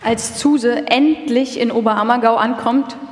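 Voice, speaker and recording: A woman's voice: on the high side, steady tone, unhurried. A woman reading scripted documentary narration as a narrator in a live radio feature. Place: large hall, live stage performance. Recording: echoey hall with long reverb.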